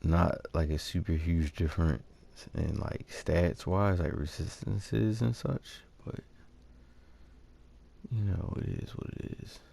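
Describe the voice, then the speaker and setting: A man's voice: low voice, slow, gravelly, dark tone, slightly rough. A man giving casual, laid-back, slangy commentary over his own gameplay. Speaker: gaming host.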